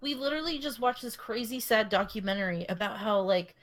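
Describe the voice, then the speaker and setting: A woman's voice: on the high side, expressive, a little fast. A young woman co-hosting a podcast, casually telling a long story full of fillers and restarts, often laughing. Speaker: podcast co-host. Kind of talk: casual chat.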